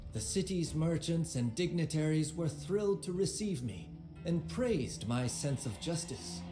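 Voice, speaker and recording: An actor playing an aging, proud conqueror who tells his life story in a measured, theatrical, wry way, as dramatic game narration. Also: fairly high pitch. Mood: grave. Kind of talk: dramatic scripted narration of a memoir. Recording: roomy voice over background music. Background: music.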